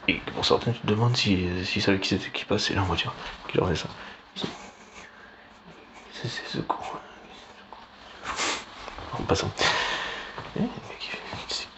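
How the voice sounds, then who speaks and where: Guy with real low creaky voice doing a sardonic political ramble, lots of pauses, noisy recording. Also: a bit bright. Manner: halting, sardonic, slangy with swearing. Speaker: online political commentator. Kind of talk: rambling informal political monologue. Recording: clearly noisy background.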